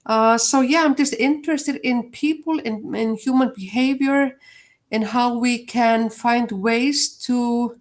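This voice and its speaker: Older Icelandic woman guest, raised pitch, thoughtful speaker with frequent light laughs. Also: slow. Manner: reflective, laughs often, many fillers. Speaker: guest, HR leader. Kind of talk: casual interview answer.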